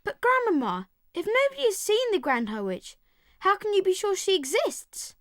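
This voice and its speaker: A woman's voice: very high-pitched, very animated, moderate pace, a bit bright, no echo, studio quality, full character voice. A woman playing an anxious, inquisitive young boy, earnest and nervous, in a dramatized audiobook. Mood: worried, curious.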